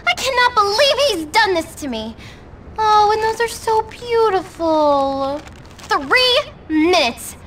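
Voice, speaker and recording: A woman's voice: very high voice, sing-song, takes it slowly, far from the mic, noisy background, exaggerated voice. An actress playing a spoiled, haughty teenage schoolgirl in a video game, theatrically indignant. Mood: dramatic outrage. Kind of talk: video game cutscene acting.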